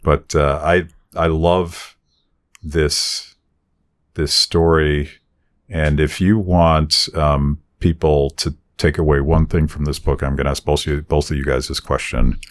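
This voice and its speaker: A man hosting an interview in a casual, halting, rambling conversational way. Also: very deep voice, level tone. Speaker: interview host.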